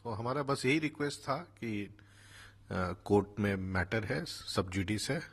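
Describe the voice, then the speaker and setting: A male company spokesman gives an unscripted comment, mixing languages and hesitating. His voice is husky and lowish, yet he rattles along very fast. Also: lively intonation. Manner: mixes Hindi and English, hesitant.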